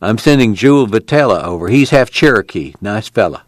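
A man voicing a calm male camp organizer with a steady, instructive folksy tone. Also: on the low side, brisk, no echo, clean studio sound.

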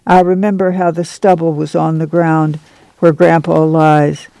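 A man voicing a tired, steadfast farm mother with a soft rural drawl, in his own creaky, highish voice. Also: flat delivery, a little slow, no echo, poor audio. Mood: sad.

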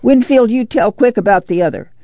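A woman's voice: fairly high pitch, brisk, dark tone, no echo, dull audio, pitched above the performer's own voice, lightly acted. A woman playing a worried, commanding farm mother. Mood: urgent, commanding. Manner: rural folksy dialect, blunt orders.

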